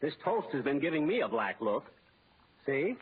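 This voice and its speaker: An actor playing a timid, hesitant henpecked American husband in an old-time radio comedy, meek and stammering. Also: male voice, fairly high pitch, sing-song, moderate pace, husky, heavily acted. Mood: mild grumbling. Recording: tinny, noisy old radio broadcast.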